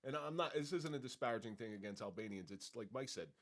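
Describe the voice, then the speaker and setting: A man's voice: medium pitch, animated, fast talker. A man from Northern Ireland on a podcast, asking questions casually with hesitant restarts. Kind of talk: casual conversation.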